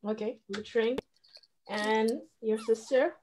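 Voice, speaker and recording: A middle-aged woman teaching an online children's class, calling names and repeating each answer back in a brisk, encouraging way, heard through a webcam at home. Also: on the high side, steady tone, noisy background. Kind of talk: leading a class roll call on a video call. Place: small room at home, brick-pattern wall. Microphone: video call, webcam.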